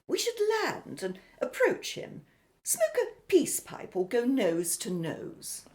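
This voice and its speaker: A woman's voice: on the high side, huge pitch swings, takes it slowly, a little mellow, exaggerated voice. An actress playing a plucky, playful woman explorer in an old-fashioned, exclamatory radio adventure style. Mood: urgent. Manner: exclamatory, old-fashioned jolly British period speech. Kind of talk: radio adventure drama acting.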